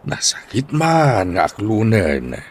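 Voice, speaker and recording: An actor playing a doting, emotional old grandfather in a radio drama, with sighing, drawn-out speech. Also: fairly low pitch, big pitch swings, breathy edge, heavily acted. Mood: touched. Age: older.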